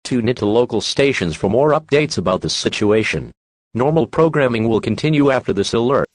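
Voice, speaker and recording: A man voicing an impersonal emergency broadcast announcer, reading formal alerts in a measured, flat way. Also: mid-range voice, no echo, close to an everyday voice.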